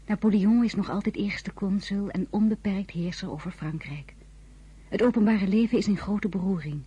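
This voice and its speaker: An actress playing a tender, anxious young wife and mother in a radio drama, with a soft, husky voice. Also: medium pitch, moderate pace. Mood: grave, reflective. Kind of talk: naturalistic radio drama acting and diary narration.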